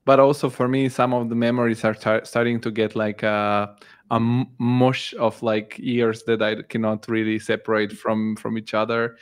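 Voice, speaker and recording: बल्गेरियाई मूल भाषा वाला एक पुरुष पॉडकास्ट मेज़बान, जो अंग्रेज़ी में सवाल पूछता है, शब्द खोजते हुए रुकता है और वाक्य दोबारा शुरू करता है।. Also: male voice, on the low side, level tone, smooth. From Bulgaria. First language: Bulgarian. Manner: halting, searching for words, many restarts. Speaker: podcast host. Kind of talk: interviewing.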